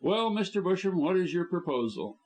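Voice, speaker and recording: A man reading an audiobook, voicing a calm, measured male doctor. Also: sits high, voice pushed up.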